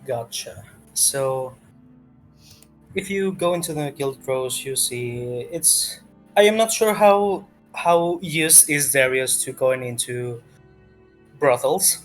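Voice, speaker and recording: A man's voice: mid-range voice, lively intonation, takes it slowly, soft background music. A man narrating a tabletop game as game master in a casual, improvised way, often searching for words.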